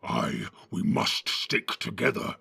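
Storybook orc commander: deep growl, rasping and hard-edged, blunt and boastful. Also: male voice, big pitch swings, slow pace, sharp tone, dry recording, exaggerated voice. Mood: boastful, scornful. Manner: blunt, domineering. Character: commanding, boastful big male orc captain. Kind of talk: audiobook character voice.

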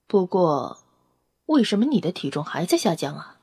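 A woman's voice: lowish voice, big pitch swings, moderate pace, warm tone, slightly rough, no echo, full character voice. Woman as nosy, close-miked vampire scientist. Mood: clinical concern. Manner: inquisitive, blunt, matter-of-fact. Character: nosy, clinical vampire-race scientist Lanka, coolly curious. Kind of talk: audio drama acting.

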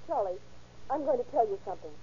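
A troubled young woman in vintage radio melodrama, high-pitched and hesitant. Her voice is soft-edged and earnest, on a muffled old recording with faint noise. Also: lively intonation, fast talker, husky. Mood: defiant, upset. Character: anxious, torn young woman resisting her possessive boyfriend. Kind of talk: 1940s radio melodrama acting.

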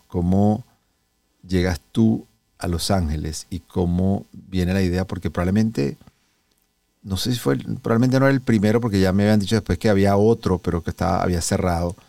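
A Venezuelan man hosting a wellness interview show, telling stories in a relaxed, conversational way. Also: sits low, normal speed, gravelly, no room sound, distant mic. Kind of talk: relaxed conversational introduction and storytelling.

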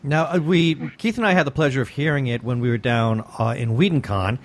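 A man's voice: mid-range voice, a little fast. A man co-hosting a podcast interview and chatting casually with the guests.

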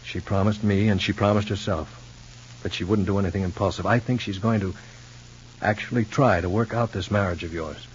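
An actor playing an earnest, concerned confidant in an old radio soap opera, with a halting, emphatic delivery. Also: male voice, fairly low pitch, steady tone, close to an everyday voice. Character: earnest, concerned male confidant pleading for understanding. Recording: muffled old radio recording, noisy hum.

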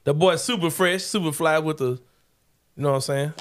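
A man's voice: fairly high pitch, a little fast. Joking man in his forties. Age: 40s. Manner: laid-back, joking, slangy. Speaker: podcast host. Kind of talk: casual sports talk show.

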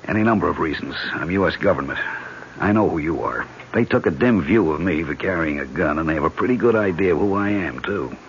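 A muffled, noisy old radio broadcast. a hard-bitten American government man with a low voice, cool-headed under threat, in 1970s radio drama style. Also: lively intonation, quick pace. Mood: guarded, matter-of-fact.

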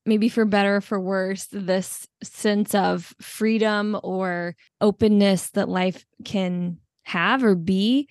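Slow, reflective woman host. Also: medium pitch, steady tone, darkish tone, dry recording, clean studio sound. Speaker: podcast host. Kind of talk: interview.

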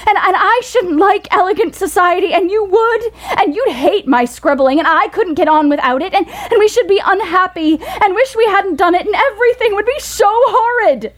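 A woman playing an earnest, independent young woman in a period audio drama, with a halting, pleading delivery. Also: extremely high pitch, expressive. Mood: pleading, flustered. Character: earnest, plain-spoken, independent young woman refusing a suitor.

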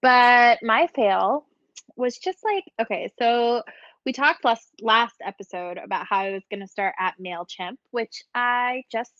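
A woman's voice: fairly high pitch, a little slow, a bit bright, no echo, muffled recording. A young woman co-hosting a podcast in casual chat, rambling and filling her talk with "like". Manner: chatty, rambling, heavy use of "like". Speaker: podcast co-host.

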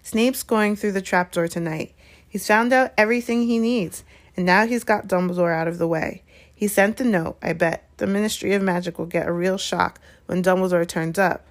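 A woman's voice: medium pitch, normal speed, natural voice. A woman voicing an earnest, headstrong young schoolboy who speaks with urgent insistence, in a storybook reading.

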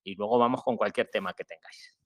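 A man from Spain with a Madrid accent, hosting a live online chat and explaining things clearly, often checking in with "¿vale?". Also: lowish voice, fast talker. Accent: Spanish, Madrid. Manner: friendly, explanatory, emphatic, with frequent "¿vale?". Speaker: podcast host.

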